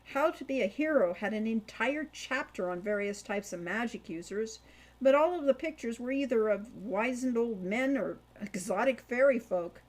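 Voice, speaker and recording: A woman's voice: a little high, animated, moderate pace, low-quality recording. A woman reading scripted fiction aloud as an audiobook narrator.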